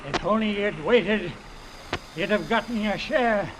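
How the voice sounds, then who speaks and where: An actor playing a wounded, embittered old rich man, weak and in pain, halting and labored, in a vintage radio drama. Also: sits high. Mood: bitter. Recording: very noisy old radio recording.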